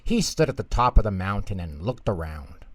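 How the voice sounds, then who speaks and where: A man reading a children's bedtime story aloud as scripted, expressive narration. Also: lowish voice, normal speed, no echo.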